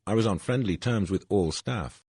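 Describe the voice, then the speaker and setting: An older man from Wales, reading his own autobiographical essay in a measured, formal way, his voice a little husky and his phrases falling at their ends. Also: fairly low pitch, a little mellow, dry recording. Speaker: essay narrator.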